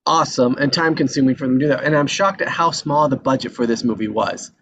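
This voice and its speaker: A man's voice: mid-range voice, quick pace, a bit bright. A man hosting a casual group discussion, handing turns to the others. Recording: muffled video call with clear background noise.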